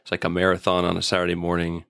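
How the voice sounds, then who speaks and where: A man with a very deep voice and plenty of vocal fry talks casually as a podcast co-host. He is wry and easygoing, recorded close and dry. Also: completely flat delivery, rapid-fire, a bit bright, no echo. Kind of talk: casual conversation and episode review.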